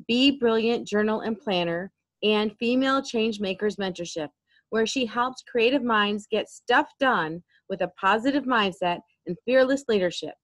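A woman's voice: mid-range voice, dry recording. A woman hosting a podcast interview, introducing her guest and asking questions in a friendly, eager way. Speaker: podcast host. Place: indoors, home room.

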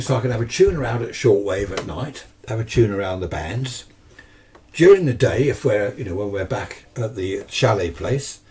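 An older man from the south of England with a fairly low voice. He presents in a casual, rambling way, with wry, self-mocking asides, like someone chatting across a workbench in a small workshop. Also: darkish tone. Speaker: presenter of a radio workshop channel. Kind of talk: casual chat, product review. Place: small cluttered indoor radio workshop.

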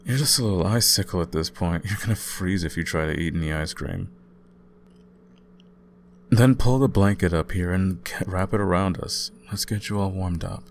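A young man playing an easygoing, caring student roommate in an intimate ASMR audio roleplay, talking casually with gentle teasing. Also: low-pitched, normal speed, slight background noise. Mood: gentle, reassuring. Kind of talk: intimate ASMR boyfriend-style roleplay, conversational acting.

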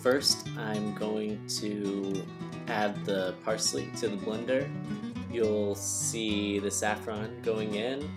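A young man giving a calm, step-by-step cooking lesson as voice-over. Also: fairly low pitch, slow, loud music behind the voice. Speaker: cooking teacher. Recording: distant echoey room with noise, video call.

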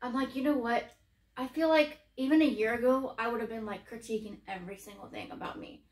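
A woman's voice: fairly high pitch, fairly quick. Chatty woman in her thirties, echoey room. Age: early 30s. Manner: chatty, candid, many "you know" and "like" fillers. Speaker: co-host. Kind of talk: casual chat while eating.

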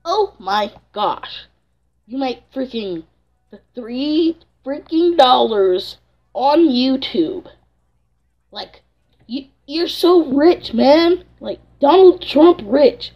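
A woman's voice: high voice, expressive, very slow. A young woman mocking someone sarcastically in a clip. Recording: dull lo-fi audio.

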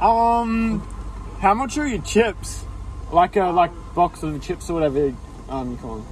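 A man's voice: high voice, measured pace, darkish tone. A young man with an Australian accent chatting casually and ordering at a drive-through, recorded on a phone in a car. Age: young adult. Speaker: vlogger and prankster. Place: inside a parked or idling car at night. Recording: noisy car, handheld phone audio.

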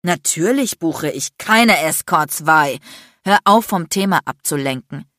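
A woman voicing an icy, furious, sarcastic man in an audiobook, hissing and shouting with biting, threatening bluntness. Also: low-pitched, expressive, a little slow, no room sound, clean studio sound. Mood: outraged, sarcastic. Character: enraged, icy, sarcastic, domineering adult man, a lawyer. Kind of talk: dramatic audiobook character acting.

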